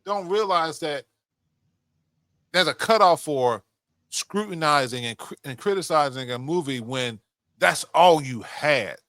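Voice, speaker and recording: Bright-voiced man hosting a casual podcast. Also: on the high side, lively intonation, unhurried, no room sound, studio quality. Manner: swears freely. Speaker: podcast host. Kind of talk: casual chat.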